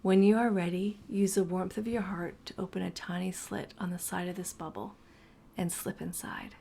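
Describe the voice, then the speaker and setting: A woman narrating a guided meditation slowly and soothingly, her breathy voice often dropping toward a whisper. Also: fairly low pitch, steady tone, mellow, slight background noise.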